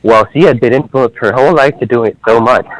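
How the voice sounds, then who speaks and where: A man calling into an advice show from work, speaking in a soft, measured way. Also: mid-range voice, no echo, poor audio. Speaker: caller.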